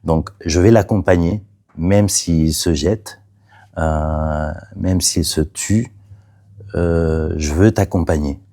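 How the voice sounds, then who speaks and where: A man whose first language is Catalan, a playwright talking about his play in an interview, hesitant, with many fillers and restarts, and trailing off softly. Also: low voice, takes it slowly. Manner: soft-spoken, trailing off.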